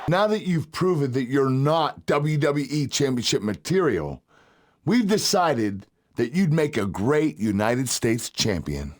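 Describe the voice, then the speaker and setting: An actor playing a smug, threatening wrestling authority figure in a game cutscene, formal and condescending. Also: male voice, mid-range voice, sing-song, measured pace. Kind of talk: video game cutscene acting.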